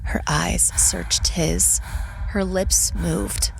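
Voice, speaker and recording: A woman narrating a dramatic audio story in a solemn, measured way with weighty pauses. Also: on the low side, steady tone, creaky, poor audio. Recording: narration under loud dramatic music. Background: loud music.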